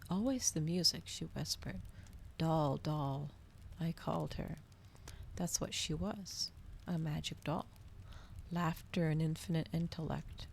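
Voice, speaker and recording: A woman reading a novel aloud in a soft, cozy ASMR style, with slow, dramatic literary phrasing. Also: sits low, vocal fry, a little hiss or hum. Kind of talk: cozy ASMR storybook narration.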